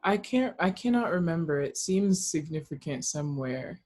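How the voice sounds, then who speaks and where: A woman's voice: sits low, animated, moderate pace, darkish tone. A woman taking part in a book discussion, speaking in a casual, reflective way and relaying comments.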